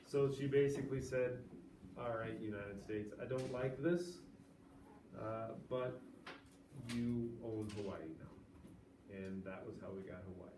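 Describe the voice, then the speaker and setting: Male teacher, relaxed storytelling lecture style, distant echoey classroom recording, noisy. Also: fairly low pitch, measured pace, pauses often. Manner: casual, chatty storytelling to students.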